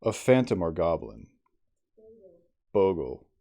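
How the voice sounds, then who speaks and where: A man reading a classic novel aloud as ASMR, in a soft, intimate, slow dramatic storytelling manner. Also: low voice, big pitch swings. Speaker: audiobook reader in character as the narrator.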